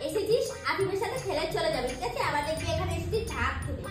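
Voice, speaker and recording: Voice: very high-pitched, expressive, quick pace, echoey room. A performer voicing a bossy, scornful young girl in a children's cartoon, scolding and threatening in a haughty way over music. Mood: scornful, annoyed. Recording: music behind the voice.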